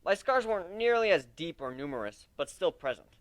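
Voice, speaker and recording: A man giving an expressive, conversational first-person dramatic reading of a story. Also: a little high, fairly quick, no room sound. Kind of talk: first-person scripted story narration with character voices.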